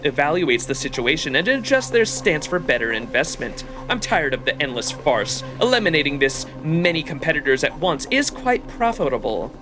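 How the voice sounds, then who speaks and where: A man voicing a smug, cool-headed businessman from a game, measured and mocking. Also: on the high side, very animated, music in the background. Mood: cool, calculating. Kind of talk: acted reading of game dialogue.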